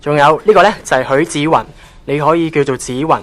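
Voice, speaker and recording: Man playing a breezy, high-pitched male office gofer. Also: fairly quick, a bit bright, close to an everyday voice. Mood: friendly, breezy. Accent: Hong Kong. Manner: breezy, teasing, familiar. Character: chatty, friendly young male magazine office gofer. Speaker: voice actor in an online radio drama. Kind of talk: casual radio drama dialogue.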